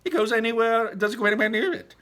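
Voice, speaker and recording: A man's voice: very high voice, lively intonation, fast, a bit bright, pitched above the performer's own voice. A man voicing a breezy, eccentric chocolate factory owner from a storybook, exclaiming and reassuring. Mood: excited.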